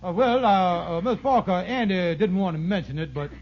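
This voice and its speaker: An actor playing a glib, bumbling schemer in a 1950s radio sitcom, with folksy, stalling, ungrammatical patter. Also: male voice, high-pitched, normal speed. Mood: glib, wheedling. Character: fast-talking male schemer, glib, wheedling, bumbling con artist. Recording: muffled, noisy vintage radio broadcast.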